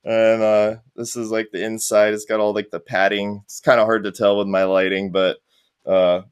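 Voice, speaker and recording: A man's voice: fairly low pitch, level tone, normal speed, clear, resonant tone, dry recording, clean studio sound. A man chatting casually as a podcast guest, in an easygoing, rambling manner. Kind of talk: casual interview chat.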